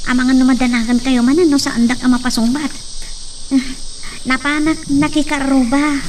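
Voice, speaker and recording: A woman's voice: high-pitched, normal speed, lightly acted. A woman playing an earnest young daughter. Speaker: actor. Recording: distant mic, steady background noise.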